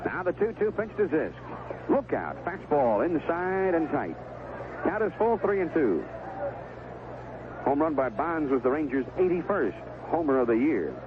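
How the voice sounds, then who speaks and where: Animated American baseball announcer on tinny vintage radio. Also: male voice, on the high side. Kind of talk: live sports commentary. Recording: vintage 1970s AM radio broadcast, tinny, noisy.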